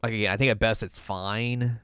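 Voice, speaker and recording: Man with a clear voice, casual podcaster. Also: lowish voice, fairly even intonation, normal speed, a bit bright, dry recording, studio quality. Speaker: podcast co-host. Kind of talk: casual chat reviewing films.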